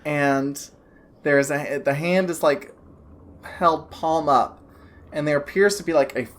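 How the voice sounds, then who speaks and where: A woman's voice: deep voice, takes it slowly. A woman narrating as game master of a tabletop game, describing scenes in a rambling way full of restarts and fillers.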